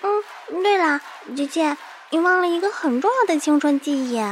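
A woman's voice: very high-pitched, lively intonation, moderate pace, slight background noise. A woman playing a cheeky, pouty eight-year-old little sister in a scripted radio skit. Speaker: radio co-host.

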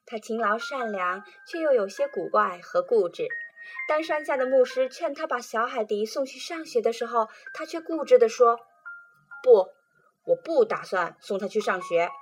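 A woman presenting and reading children's poetry in a calm, gentle storytelling manner. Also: fairly high pitch. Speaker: reader and presenter.

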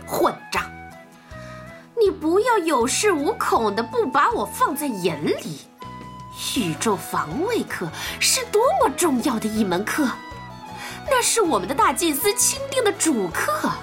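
A woman's voice: high voice, huge pitch swings, measured pace, pitched above the performer's own voice, heavily acted. A woman voicing a pompous, sarcastic schoolteacher who scolds pupils in an audio drama. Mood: indignant. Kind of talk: Mandarin audio drama acting. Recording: music behind the voice.

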